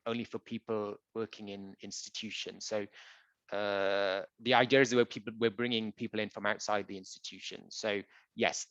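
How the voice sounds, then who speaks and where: A man who works as a translator, answering audience questions in a relaxed, conversational way with frequent ums and uhs. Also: lowish voice, no echo. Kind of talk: conversational Q&A presentation. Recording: dull, dry lo-fi audio.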